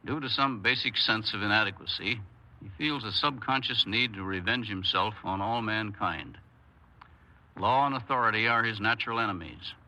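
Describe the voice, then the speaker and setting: A male official reading formal radio commentary. His mid-pitched voice is very sharp and forceful, with a solemn, didactic delivery. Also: poor audio. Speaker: state corrections official as guest commentator.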